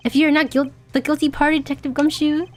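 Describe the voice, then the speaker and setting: A woman's voice: sits high, little pitch movement, quick pace, lightly acted. A woman voicing a haughty male prosecutor. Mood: accusing. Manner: formal, cold and reproachful. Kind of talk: reading game dialogue aloud.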